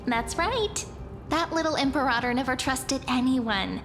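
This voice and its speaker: A woman's voice: sits high, unhurried. An actress voicing a confident, sincere girlish companion in a video game, earnest and breezy in her persuasion. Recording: echoey long reverb, music behind. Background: music.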